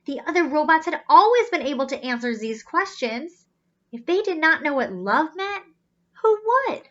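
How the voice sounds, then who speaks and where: A woman with a high, very bright voice reads a picture book to children. She is teacherly, breaking off to explain and ask questions. The recording is slightly dull. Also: sing-song, moderate pace. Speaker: children's storybook reader. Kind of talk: animated read-aloud storytelling with asides.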